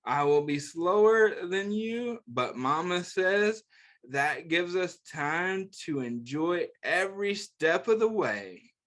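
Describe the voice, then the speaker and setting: A man's voice: sits high, lively intonation, slow, fairly bright tone. A man hosting story time, reading a picture book aloud to children and adding simple, encouraging asides and questions. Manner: simple, encouraging, instructive phrasing aimed at young children.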